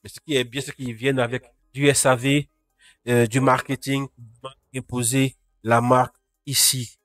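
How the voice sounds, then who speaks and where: A man's voice: medium pitch, flat delivery, moderate pace, fairly bright tone, no echo, clean studio sound, soft background music. A man with a cold hosting a casual live tech news roundup, greeting chat viewers by name. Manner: talks to live chat viewers, frequent restarts. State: has a cold.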